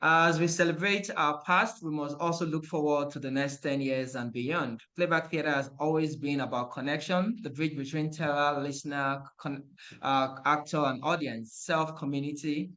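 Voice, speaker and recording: A man's voice: on the high side, steady tone, moderate pace, sharp tone. A man giving an earnest, formal anniversary speech over a video call.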